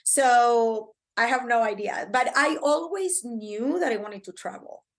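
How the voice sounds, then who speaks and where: A middle-aged woman from Argentina with an Argentine Spanish accent, chatting as a podcast guest in an excitable, talkative way with many fillers. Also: fairly high pitch, unhurried. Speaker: podcast guest, branding coach. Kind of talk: casual interview chat.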